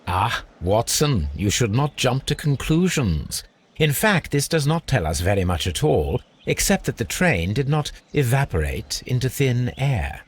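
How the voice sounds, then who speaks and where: An actor playing a brilliant, aloof gentleman detective in a video game, with a British accent and a precise, measured, analytical delivery. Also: mid-range voice, very animated, husky. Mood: dry, superior. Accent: British English. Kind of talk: video game character acting.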